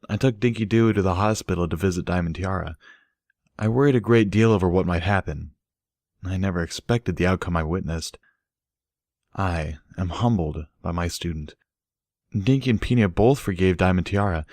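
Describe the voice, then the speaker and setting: A man with a low voice narrates an audiobook in a measured, earnest manner. The sound is dry and close, with very little room on it. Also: dry recording. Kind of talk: scripted story narration.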